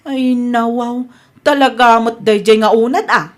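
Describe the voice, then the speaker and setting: High-pitched flustered Ilocano village wife, acted by a woman. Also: fairly even intonation, measured pace, natural voice. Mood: defensive. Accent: Ilocano Filipino. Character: flustered, blame-casting village wife, later apologetic. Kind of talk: Ilocano radio comedy drama acting.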